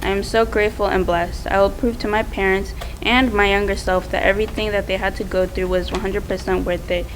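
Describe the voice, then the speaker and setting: A woman's voice: medium pitch, steady tone, brisk, few pauses, rough recording. Teen girl reading her prepared speech about herself, bit of background noise. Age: teens. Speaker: student award presenter.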